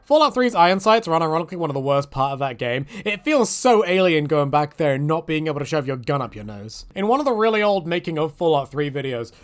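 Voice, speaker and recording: A man giving casual, rambling video game commentary in an animated way, with jokey exaggeration. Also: on the high side, fast talker, hardly any pauses.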